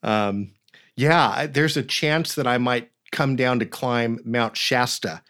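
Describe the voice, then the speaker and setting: A man's voice: mid-range voice, slow pace, a bit bright, smooth. Enthusiastic man as podcast host on a video call. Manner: upbeat, enthusiastic, with fillers. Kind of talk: conversational interview.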